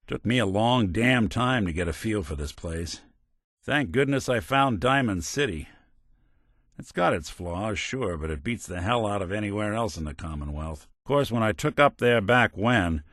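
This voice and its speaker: A man's voice: fairly low pitch, expressive, normal speed, fairly bright tone, dry recording, clean studio sound. A man voicing a weary, principled old robot detective in a video game, speaking in a wry, folksy, hard-boiled way. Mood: somber, reflective. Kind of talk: video game character dialogue.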